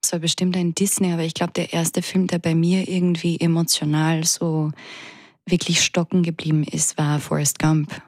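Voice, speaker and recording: A woman in her thirties with a low voice and a gravelly edge, recorded close and dry. She answers as an actress guest in a radio interview. She talks thoughtfully and often pauses to find the right word. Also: level tone, darkish tone, no echo. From France. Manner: reflective, searching for words with many hesitations.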